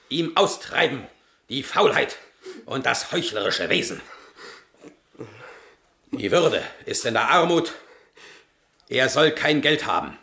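A domineering Prussian king and father in a radio drama. His delivery is slow, commanding and pompous, swinging widely in pitch, with a roomy echo around it. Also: gravelly, pushed and bright, full character voice. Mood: wrathful, commanding. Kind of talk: German radio drama acting.

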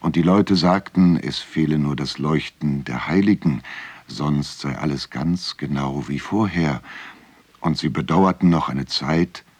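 An actor playing a grave storyteller, narrating a dark folk legend with measured delivery in a radio drama. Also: male voice, very deep voice, slightly rough, no room sound, full character voice.

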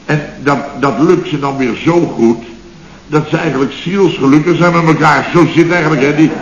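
An actor playing an exasperated, wisecracking boss of a building firm in a radio comedy, talking sardonically and repeating words in emphatic bursts. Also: male voice, on the high side, moderate pace, creaky. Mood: amused relish. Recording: roomy, dull, hissy old radio recording.

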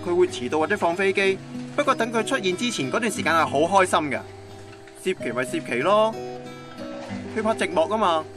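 A man's voice: sits high, lively intonation, brisk, pauses often. A man playing a lovesick, stubbornly devoted young man in a Hong Kong Cantonese radio drama, earnest and self-deprecating. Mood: earnest devotion. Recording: guitar music under the voice.

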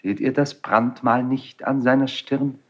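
An actor playing a passionate, defiant young Spanish prince stricken with grief, declaiming classical verse in a 1970s German radio drama. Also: male voice, mid-range voice, steady tone, unhurried, natural voice. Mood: bitter, accusing. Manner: declamatory, impassioned. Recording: dull 1970s radio studio recording.

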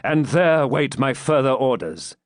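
Guy doing a commanding dark champion knight in a storybook, deliberate orders, lively pitch. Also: dry recording, studio quality. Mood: stern command. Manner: authoritative, stern, deliberate.

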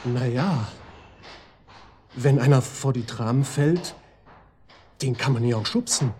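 An actor playing a talkative psychiatric-ward patient informing the police in a German radio drama, rambling and colloquial. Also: male voice, mid-range voice, slow pace, darkish tone, slight background noise, lightly acted. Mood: confiding, suspicious.

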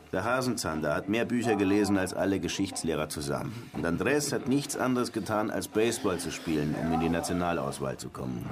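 A musing, nostalgic police lieutenant in a radio crime drama. His low voice moves freely up and down, wry and colloquial, with music underneath. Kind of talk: naturalistic German radio crime drama acting.

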